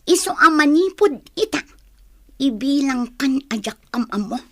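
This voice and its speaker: A woman's voice: high voice, animated, a little slow, noisy background. An actress playing an angry, defiant young female student in a radio drama, indignant and confrontational. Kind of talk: Ilocano radio drama acting.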